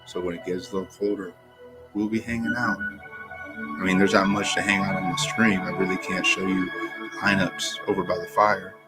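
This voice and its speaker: Groggy deep-voiced man, laid-back. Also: little pitch movement, over loud music, low-quality recording. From Florida. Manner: laid-back, rambling, slow-talking. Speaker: fantasy football streamer. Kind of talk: casual live-stream chat.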